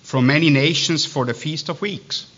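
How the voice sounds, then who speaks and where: A man with a Swiss accent preaching a sermon, reading scripture and breaking off into halting, digressive asides full of restarts. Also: on the high side, a bit bright. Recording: dull lo-fi sound.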